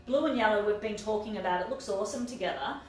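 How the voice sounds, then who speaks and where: A woman with a quiet voice co-hosting a fashion live stream, chatting casually with many fillers. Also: mid-range voice, fast, heavy reverb, recorded from a distance, slight background noise, poor audio. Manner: chatty, hesitant, lots of fillers. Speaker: fashion boutique co-host. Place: echoey room. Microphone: no mic, far from laptop camera.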